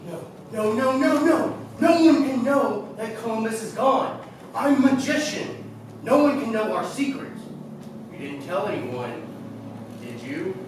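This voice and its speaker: An actor playing a secretive, agitated cyborg circus magician with urgent, commanding theatrical delivery. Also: male voice, sits high, very animated, measured pace, far from the mic. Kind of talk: theatrical stage acting. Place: theater stage. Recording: echoey theater from afar, noisy.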